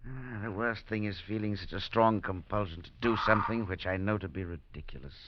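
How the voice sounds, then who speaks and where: A man's voice: fairly low pitch, a little fast, background noise, lightly acted. An actor playing a cold, unsentimental male scientist professor in a 1940s radio drama, speaking sardonically with nervous hesitations. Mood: uneasy. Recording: dull 1940s radio broadcast.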